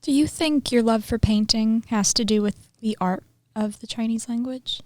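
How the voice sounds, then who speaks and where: A woman's voice: on the high side, normal speed, darkish tone, no echo. A woman hosting a podcast, asking short, encouraging interview questions. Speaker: podcast host.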